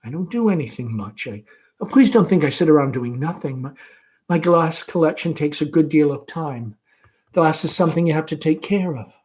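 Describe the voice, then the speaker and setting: A shy young woman in a stage play, read aloud by a man. The voice is somewhat high, with halting, stammered phrases. The manner is apologetic and easily flustered. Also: animated, a little mellow, natural voice.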